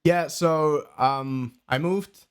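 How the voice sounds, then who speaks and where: Podcast guest: male, early twenties, Bulgarian accent, lighter-pitched voice, chatty explanatory delivery. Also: very slow, clear, resonant tone. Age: early 20s. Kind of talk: casual conversational interview.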